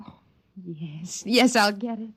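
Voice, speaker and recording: A woman's voice: mid-range voice, slow, slightly rough. An actress playing a lonely, romantic, wistful married woman in a 1950s radio drama, speaking earnestly. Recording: dull vintage 1950s radio recording.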